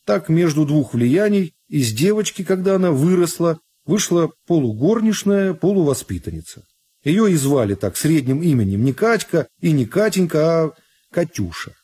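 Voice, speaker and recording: A man narrating a literary story for a radio drama in a measured, phrase-by-phrase storytelling manner. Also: on the high side, very animated, mellow, breathy edge. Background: music.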